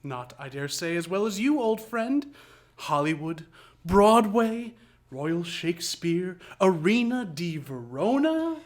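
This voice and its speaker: A man's voice: sits high, big pitch swings, slow pace, heavily acted. A man playing a bitter, deranged washed-up actor in a live radio drama, grandiloquent, sarcastic and vengeful. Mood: sardonic. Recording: roomy echo of a live studio stage.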